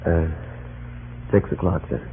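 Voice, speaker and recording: An actor playing a groggy, flustered but respectful secretary in an old-time radio drama, hesitant and apologetic. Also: male voice, low voice, fairly even intonation, measured pace, gravelly. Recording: tinny, noisy old radio recording.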